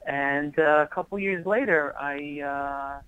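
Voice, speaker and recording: A man's voice: a little high, slow, fairly bright tone, clean tone. A man from Israel, a cantor, telling his story fluently and earnestly in a radio interview by phone. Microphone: telephone. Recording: muffled phone line.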